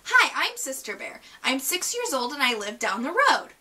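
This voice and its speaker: A woman voicing an excitable, competitive six-year-old girl bear cub from a children's storybook. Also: high voice, normal speed, recorded from a distance, slight background noise, heavily acted. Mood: eager.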